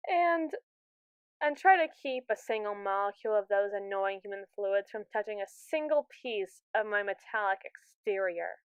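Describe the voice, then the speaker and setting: Woman acting haughty evil robot, high clear voice. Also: no room sound, phone-quality sound. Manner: condescending, sarcastic, theatrical. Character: haughty, impatient evil robot, contemptuous of humans. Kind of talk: ASMR audio roleplay acting.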